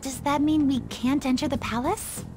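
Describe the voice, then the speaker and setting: Earnest, high-voiced teenage girl. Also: moderate pace, over music. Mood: hesitant, determined. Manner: polite, hesitant, earnest. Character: earnest, polite teenage schoolgirl with hidden powers. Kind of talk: video game voice acting.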